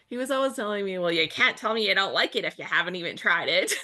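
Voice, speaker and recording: A woman's voice: mid-range voice, sharp tone. A woman co-hosting a live scrapbooking stream, chatting casually and laughing often while she works. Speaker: co-host of a live scrapbooking crop. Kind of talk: casual chat while crafting.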